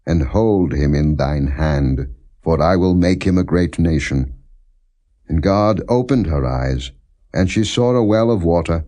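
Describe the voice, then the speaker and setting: A man with a deep voice narrates scripture as an audiobook. He reads formally and solemnly, setting out each phrase in a measured, deliberate way without dramatizing it. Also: mellow. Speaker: scripture reader.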